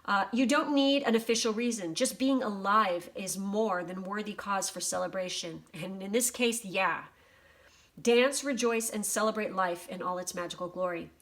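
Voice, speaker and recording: A woman with a slightly worn, hoarse voice giving a casual general oracle card reading, swearing freely. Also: mid-range voice, normal speed, fairly bright tone. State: voice going hoarse. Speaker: tarot reader.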